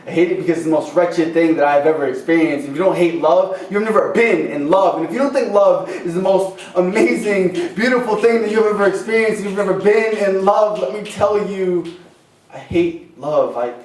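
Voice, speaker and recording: A man performing slam poetry at an open mic, impassioned and rhythmic, with dramatic pauses and rhymes. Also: high-pitched, darkish tone. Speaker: slam poet. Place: open mic venue with a small responsive audience. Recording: echoey live room, distant microphone.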